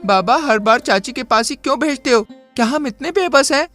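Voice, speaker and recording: A man's voice: very high voice, expressive, a little fast, bright, forceful tone, faint music behind, pitched above the performer's own voice, full character voice. A man voicing a poor but proud boy in a cartoon story who speaks earnestly and with determination. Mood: pleading.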